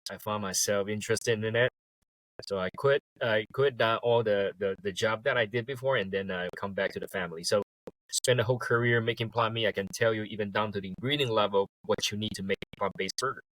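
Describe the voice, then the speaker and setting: A man, a guest talking in a relaxed way and laughing easily. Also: on the low side, brisk, dry recording, low-quality recording. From Taiwan. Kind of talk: casual interview.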